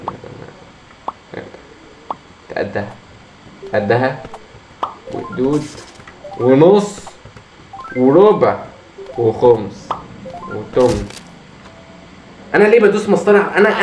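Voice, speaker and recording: Egyptian gamer guy, hoarse, mumbling pep talk to himself, faint hiss behind. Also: mid-range voice, darkish tone. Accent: Egyptian Arabic. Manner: muttering self-encouragement with frustrated outbursts. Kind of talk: gameplay commentary. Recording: echoey room with faint noise.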